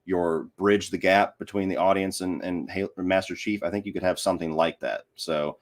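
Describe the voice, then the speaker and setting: A man's voice: sits low, fairly even intonation, normal speed. A man speaking as a podcast guest, giving his opinions in casual conversation.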